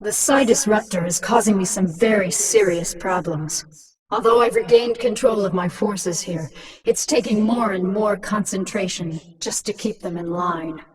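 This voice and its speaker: A woman's voice: medium pitch, normal speed, recorded from a distance, crowd noise, natural voice, low-quality recording. A woman voicing a ruthless, sardonic alien-hybrid queen in a video game, speaking with mocking, commanding menace. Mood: cold command. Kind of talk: scripted video game voice acting.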